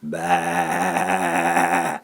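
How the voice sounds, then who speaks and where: A man's voice: low-pitched, little pitch movement, very slow, fairly bright tone, voice pushed down, heavily acted. A man voicing a grumpy, scolding old male bighorn sheep in a storybook. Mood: grumpy yelling. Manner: scolding, tutting.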